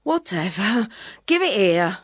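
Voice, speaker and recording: A woman's voice: mid-range voice, sing-song, takes it slowly, fairly bright tone, slightly rough, no echo, clean studio sound, heavily acted. A woman playing a harried, sarcastic suburban housewife in a comic radio advert, theatrically exasperated. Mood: indignant.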